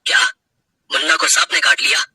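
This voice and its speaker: Man acting a harsh, husky vengeful bandit. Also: a little high, fairly even intonation, brisk, bright, forceful tone, dry recording, full character voice. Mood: desperate, pleading. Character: vengeful male bandit, bitter, menacing, later remorseful. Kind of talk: dramatic Hindi audio story acting.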